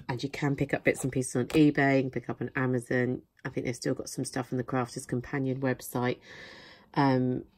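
A woman's voice: extremely low pitch, dry recording. A woman hosting a craft channel, chatting casually through a product haul while losing her voice. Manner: chatty, rambling with many fillers. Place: indoors at a craft desk. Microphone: camera overhead, hands in frame.

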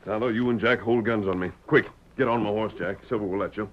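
A man's voice: on the low side, fairly even intonation, fast talker, close to an everyday voice. A man playing a resolute, commanding masked cowboy hero in a 1930s radio drama, firm, earnest and formal. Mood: urgent, firm. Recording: crackly muffled 1930s radio recording.

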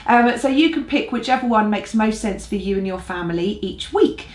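A woman's voice: medium pitch, lively intonation, normal speed, a little mellow. A woman in her forties with an English accent, a pastor giving friendly church announcements to camera. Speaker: senior pastor presenting a church newsletter. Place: small living room, on a sofa. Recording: roomy, echoey living room.

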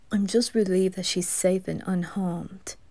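A woman voicing a reserved, haughty young noble boy in an anime fan roleplay, terse and hushed. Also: on the low side, steady tone, slow. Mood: guarded.

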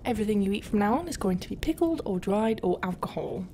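A woman playing a flustered but tender pirate captain in an ASMR roleplay, halting and often dropping to a half-whisper. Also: medium pitch, a little hiss or hum, close to an everyday voice. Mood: exasperated, teasing. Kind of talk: ASMR roleplay, soft intimate acting. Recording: steady ambient sound effects behind voice.

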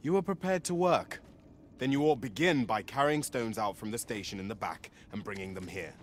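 An actor playing a solicitous, cautious pawn servant in a fantasy game, speaking in formal, earnest, archaic phrases. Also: male voice, fairly low pitch, moderate pace, slight background noise, close to an everyday voice. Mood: instructing.

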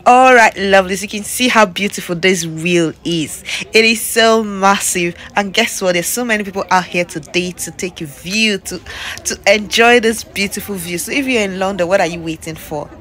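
A woman's voice: medium pitch, moderate pace, fairly bright tone. A young adult woman talking to her viewers in a casual travel vlog, chatty and upbeat, a little tired, filming herself with a handheld phone outdoors. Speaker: vlogger. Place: outdoors on a busy city riverside. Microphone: handheld phone at arm's length. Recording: street noise and crowd behind. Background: crowd chatter and traffic.

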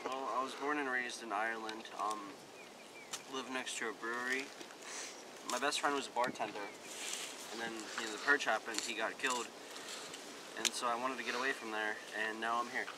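A young man acting out amateur film dialogue in a halting, casual way, recorded outdoors on a camera mic. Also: mid-range voice, fairly even intonation, background noise. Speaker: amateur actor in film outtakes. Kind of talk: rehearsing scripted dialogue, casual. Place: outdoors in woods.

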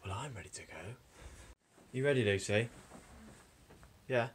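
A young man talks into a handheld camera held at arm's length as he moves through airports and hotel rooms. His voice sits at an ordinary middle pitch, and his remarks are dry and casual. Loud travel noise and vehicle sounds run underneath him. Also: animated, unhurried. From England. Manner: dry, wry asides while filming himself. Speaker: vlogger. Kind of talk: casual travel vlog narration. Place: airport, plane, hotel room, indoors.

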